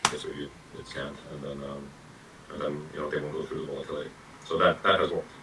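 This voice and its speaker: A man's voice: a bass voice, little pitch movement, fast, heavy background noise. A man, an executive in an interview, gives reassuring answers full of hesitations and fillers. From Czechoslovakia. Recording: distant mic with faint ambience.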